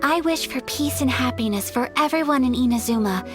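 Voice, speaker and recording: A young woman voicing a gentle, refined noblewoman in a game, speaking softly, formally and politely. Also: high-pitched, brisk, natural voice. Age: young adult. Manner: soft-spoken, formal and polite. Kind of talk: game voice acting. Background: game music.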